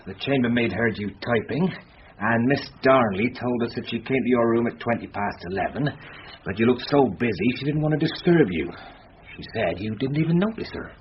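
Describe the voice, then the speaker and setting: An actor playing a matter-of-fact police inspector in a radio drama. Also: male voice, medium pitch, animated, fairly quick, natural voice. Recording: dull radio recording, faint noise.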